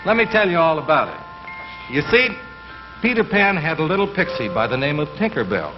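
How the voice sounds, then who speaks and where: A man who sings and leads the band on a 1950s radio comedy, talking in an easygoing way and gently explaining things to a child. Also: a little high, sing-song, brisk, dull audio. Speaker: singer and bandleader. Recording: old radio broadcast with music.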